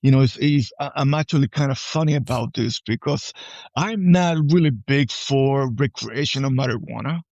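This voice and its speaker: A man's voice: mid-range voice, a little mellow, dry recording. A man in his forties from Puerto Rico talks casually in a mid-range voice. He restarts and repeats words, often seeking agreement. The sound is dry and a little lo-fi. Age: 40s. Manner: halting, repeats words, often checks with "right?". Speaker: podcast guest, army veteran. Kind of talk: casual personal conversation.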